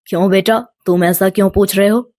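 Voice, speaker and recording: A woman voicing a kind, devout village father with a gentle, humble manner in a storybook tale. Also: mid-range voice, steady tone, normal speed, no echo, studio quality, pitched below the performer's own voice, lightly acted. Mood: concerned.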